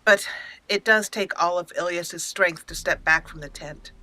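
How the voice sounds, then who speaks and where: A woman hosting a let's play, narrating it as an expressive storyteller and speaking for her character. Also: fairly low pitch, moderate pace, no echo. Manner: expressive storyteller, acting out lines. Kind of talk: roleplay storytelling over gameplay.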